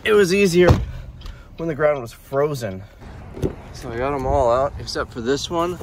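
A man's voice: fairly high pitch, expressive, normal speed, background noise. A young man from the United States, chatting casually about his warehouse sale while filming himself with a phone held at arm's length. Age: young adult. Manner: rambling, matter-of-fact, filming himself while working. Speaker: vlogger running a warehouse sale. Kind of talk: casual vlog chat. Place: warehouse indoors and outdoors by the road.

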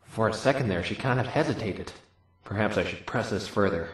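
A man's voice: lowish voice, fairly quick. A man voicing a wry, suspicious young lawyer's dry inner monologue in a visual novel dub, with an echo effect. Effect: echo for thoughts. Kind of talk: visual novel fandub acting. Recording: echoing inner-thought reverb.

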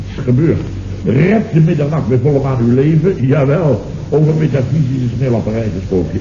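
A man's voice: mid-range voice, close to an everyday voice. A dull, noisy old radio comedy recording with a roomy echo. An actor plays an indignant Dutch man, flustered and stammering as he blusters through the story of his misfortune. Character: flustered, indignant man recounting his own disastrous errand.

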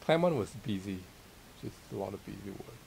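A man's voice: on the low side, a little fast, gravelly, rough recording. A middle-aged man from Thailand answering interview questions modestly and hesitantly, searching for words in a casual sit-down conversation. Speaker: interviewed guest, theology teacher and author. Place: small library room with armchairs. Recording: steady hiss and room noise.

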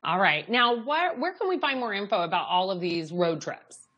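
A woman's voice: mid-range voice, expressive, moderate pace, fairly bright tone, muffled recording. A woman hosting a TV show, bubbly and enthusiastic as she interviews a guest in the studio. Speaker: TV show host. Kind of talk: TV host interview.

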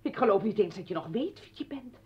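An actress playing an exasperated, accusing mother confronting her ex-husband in a radio play, with a husky voice. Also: medium pitch, animated, fast. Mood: bitter, scornful. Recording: dull old radio recording.

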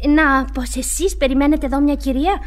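Casting: actress playing young working girl in love, jealous and sharp-tongued, high voice, old radio drama. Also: natural voice. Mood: friendly, eager. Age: young adult. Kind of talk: 1960s radio theatre acting.

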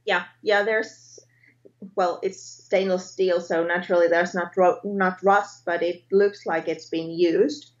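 A woman in her thirties from Finland with a Finnish accent, speaking English hesitantly as a guest on a video call, often pausing to search for words and correcting herself. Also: on the low side, little pitch movement. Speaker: guest artist. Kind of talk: casual interview chat. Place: home art studio indoors. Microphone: webcam on video call. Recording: roomy, dull lo-fi webcam sound.

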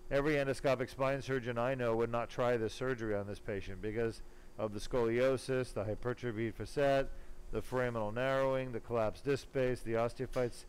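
A man working as a spine surgeon, giving casual, self-assured live commentary while he operates, pausing now and then mid-task. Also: medium pitch. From born in Germany, later lived in California. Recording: clearly noisy operating room sound. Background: room noise and ambience.

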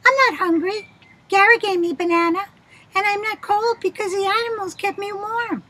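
Storybook orphan girl: very high, tiny, childlike, earnest, eager to please. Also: steady tone, moderate pace, bright, forceful tone. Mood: pleading.

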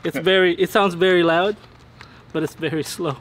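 A man with a notably high voice banters playfully in a garage. His delivery stays casual and teasing. Faint background noise sits under it. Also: fairly even intonation, normal speed, fairly bright tone. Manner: playful, joking. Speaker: friend helping in the garage.

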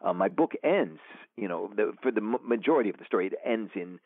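A man being interviewed as an author, talking in a halting way with many fillers and restarts. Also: on the low side, animated. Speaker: author guest. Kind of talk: remote interview answers. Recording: tinny phone line.

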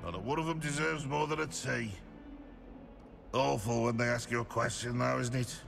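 An actor voicing a grumpy, sarcastic stone gargoyle in a video game, complaining with sardonic disdain. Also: male voice, mid-range voice, steady tone, moderate pace, vocal fry, over loud music. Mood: scornful. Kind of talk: video game character voice acting.

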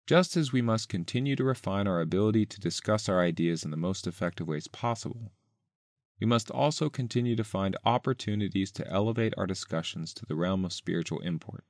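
Low-voiced man reading, very dry sound. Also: fairly quick, a little mellow, no room sound. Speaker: article author and reader. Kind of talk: scripted narration, reading an essay aloud.

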